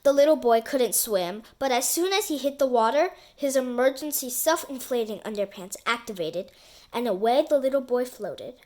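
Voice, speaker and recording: Woman, high-pitched, children's storybook reader, expressive narration, deliberate, dramatic emphasis.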